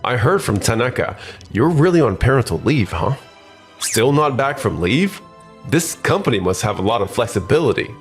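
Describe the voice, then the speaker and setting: Manga dub role: pushy, self-important corporate schemer voiced by a man, mid-pitched, very animated, music underneath. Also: normal speed. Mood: smug, pushy. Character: scheming, self-important male newly transferred office manager. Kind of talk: scripted manga dub acting.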